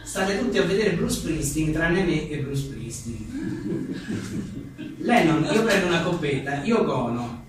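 A man's voice: fairly high pitch, animated, measured pace, very echoey. Distant mic with faint noise, man, colloquial, irreverent, live book presentation. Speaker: author presenting his book. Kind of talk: reading short jokes and chatting informally at a live book presentation. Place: live event venue with an audience.

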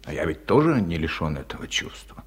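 A man's voice: low-pitched, sing-song, fairly quick, slightly rough, heavily acted. An actor playing an ailing, lonely middle-aged village man in a 1970s radio drama, speaking humbly and hesitantly, as if confiding. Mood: sad, confiding.

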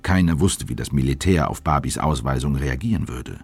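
An actor playing a stern government official in a radio play, measured when recounting and forceful when questioning. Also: male voice, a bass voice, animated, husky, dry recording, exaggerated voice. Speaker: narrator and interrogator. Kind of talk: first-person narration in a radio play.

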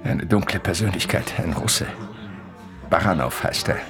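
An actor playing a grave, learned professor in a German radio play, cryptic and disdainful, with a pompous, deliberate manner. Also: male voice, deep voice, lively intonation, music in the background.

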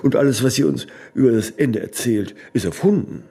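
A gravelly, imperious old artist. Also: male voice, mid-range voice, expressive, fairly quick, dark tone, soft background music. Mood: musing, persuading. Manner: tag questions, insistent, pronouncing. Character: stubborn, impatient ageing artist who denies endings. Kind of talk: radio play dialogue.

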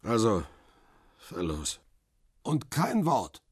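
Gruff bossy guy snapping short orders, radio play, super raspy, pitch jumping around. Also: wildly swooping pitch, drawn-out words, dry recording, studio quality, exaggerated voice. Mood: curt, irritated. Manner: terse, commanding. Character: gruff, impatient man ordering a driver around. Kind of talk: radio drama dialogue.

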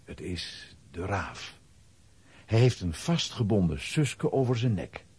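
A man's voice: fairly low pitch, sing-song, takes it slowly, a little mellow, breathy edge. A man narrating a children's audio drama in a scripted storytelling style.

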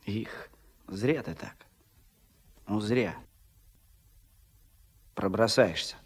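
An actor playing a proud, blunt itinerant builder in a radio play, speaking colloquially and with self-assurance. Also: male voice, on the low side, drawn-out words. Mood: wistful, stubborn. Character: proud, stubborn, plain-spoken itinerant dam builder, working man. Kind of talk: Soviet radio play acting.

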